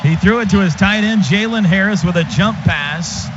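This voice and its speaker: An American man calls football live on the radio from a stadium booth. His voice sits a little high, and he moves between clipped calls and conversational asides. The sound is muffled, with clear noise behind him. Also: normal speed. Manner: clipped phrases during play, conversational between. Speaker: radio play-by-play announcer. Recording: dull, noisy live stadium radio broadcast.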